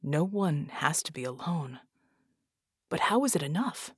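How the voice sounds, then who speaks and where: Woman voicing a hushed, despairing hulking male hero. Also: medium pitch, expressive, moderate pace, no echo, studio quality. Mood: whispered, wistful. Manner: muttering, hissing, often breathy whispers. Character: hulking armored man, despairing reluctant hero.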